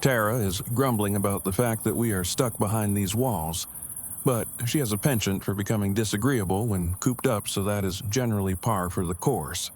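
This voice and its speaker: A man's voice: on the low side, moderate pace, a little hiss or hum, natural voice. A man acting an awkward, earnest survivor in an audio drama, speaking in formal, wordy, stilted phrases. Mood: matter-of-fact. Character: awkward, earnest, verbose male survivor in a zombie apocalypse.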